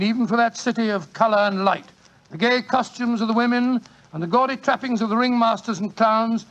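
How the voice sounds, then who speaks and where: A man's voice: high-pitched, a bit bright, rough recording. An actor playing Doctor Watson as a genial older Englishman, chatty and avuncular, in a 1940s radio drama. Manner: chatty, avuncular, old-fashioned.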